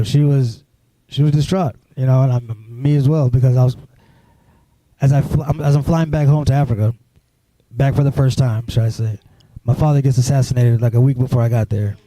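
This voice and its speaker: A man telling his life story as a guest on a live stream, halting and filling gaps with "you know" and "um". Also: medium pitch, dark tone, no room sound, poor audio. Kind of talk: personal storytelling in an interview.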